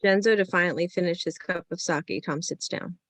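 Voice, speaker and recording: A woman's voice: lowish voice, normal speed, no echo. A woman narrating the stage directions of a screenplay in a scripted reading.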